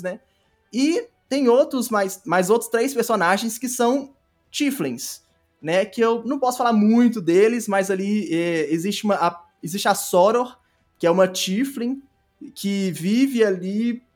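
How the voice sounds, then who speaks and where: A Brazilian man speaking casually as an interview guest, explaining in a rambling way and often rephrasing himself. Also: high voice. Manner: rambling, self-correcting, repeats phrases. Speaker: podcast guest, audio drama creator.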